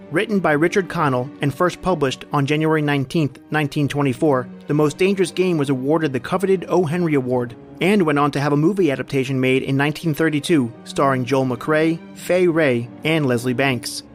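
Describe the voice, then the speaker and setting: A man hosting a podcast, reading a scripted introduction to an old-time horror radio drama. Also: fairly high pitch. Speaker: podcast host.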